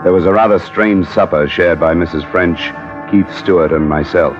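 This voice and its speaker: An actor playing a cool, probing American insurance investigator in an old-time radio drama, clipped and matter-of-fact. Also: male voice, low voice, moderate pace, lightly acted. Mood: dry narration. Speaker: lead actor and narrator. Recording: muffled vintage radio, music behind.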